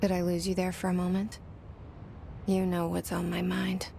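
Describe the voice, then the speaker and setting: An actress playing a haunted young woman narrating her inner thoughts in a hushed, brooding, breathy voice with some fry. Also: on the low side, monotone, normal speed, background noise. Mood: confiding. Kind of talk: intimate game monologue narration.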